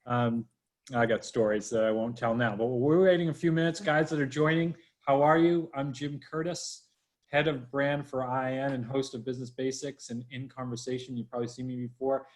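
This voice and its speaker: A man from Boston hosts a live online interview, his voice sitting at an ordinary middle pitch. He talks in a chatty, upbeat way and speaks straight to the viewers. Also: fairly even intonation, moderate pace. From Boston, USA. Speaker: host. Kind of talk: livestream interview.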